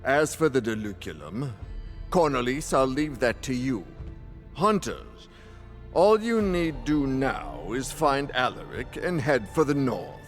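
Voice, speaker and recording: An authoritative council leader, gives formal orders in a fantasy game. His mid-pitched voice moves widely up and down over quiet music. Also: very animated, takes it slowly. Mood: stately. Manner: formal, commanding. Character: authoritative male council leader of dragon hunters. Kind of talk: video game cutscene acting.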